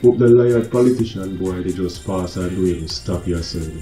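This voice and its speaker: A man's voice: low voice, moderate pace, mellow, close to an everyday voice. An actor playing a cheeky, streetwise, hot-tempered Jamaican man in a radio drama, bantering colloquially in Patois. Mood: indignant. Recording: echoey room with music behind.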